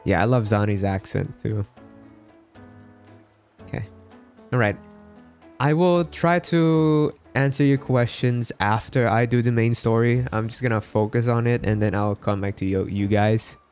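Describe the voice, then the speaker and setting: A man's voice: medium pitch, a little slow, a little mellow. Casual male game streamer, music behind. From Philippines. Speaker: live streamer. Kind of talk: casual gaming livestream chat.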